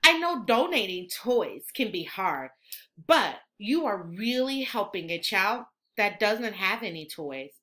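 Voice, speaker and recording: A woman reading a children's book aloud in an expressive, instructional way, like a teacher. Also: medium pitch, a little slow. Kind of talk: animated children's read-aloud.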